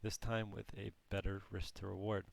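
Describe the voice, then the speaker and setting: A man who is losing his voice, teaching a trading lesson in a slow, halting way with frequent restarts. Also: deep voice, flat delivery, gravelly. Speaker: trading course instructor. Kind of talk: screen-recorded trading lesson.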